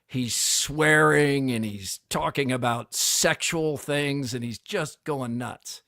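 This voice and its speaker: A man's voice: mid-range voice, slow, bright, forceful tone, no echo, studio quality. Middle-aged man telling a story. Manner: storytelling, acts out quotes. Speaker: co-host. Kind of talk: casual chat.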